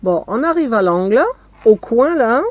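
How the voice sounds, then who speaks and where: A woman casually showing her crochet work step by step, often pausing on "voilà", talking from a camper van table with the camera on her hands. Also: fairly high pitch, big pitch swings, darkish tone, highs cut off, soft background music. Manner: slow, step-by-step, fond of "voilà". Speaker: crochet vlogger. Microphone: camera filming her hands from close.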